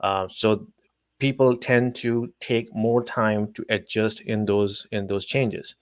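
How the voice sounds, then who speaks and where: A man's voice: lowish voice, fairly even intonation, takes it slowly, no room sound, clean studio sound. A man in his forties from Pakistan, a telecom executive, giving thoughtful interview answers with many hesitations.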